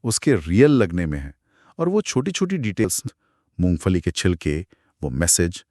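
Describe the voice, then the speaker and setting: A man co-hosting a podcast, retelling a horror story in a dramatic, suspenseful way with pauses. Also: low voice, huge pitch swings, dark tone, no room sound, studio quality. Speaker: podcast co-host.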